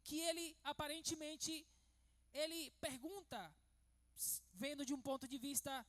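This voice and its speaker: Man, pastor, sermon; slow, measured delivery that builds to emphasis. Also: extremely high pitch, a bit bright. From Venezuela. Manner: measured, turning emphatic and preacherly.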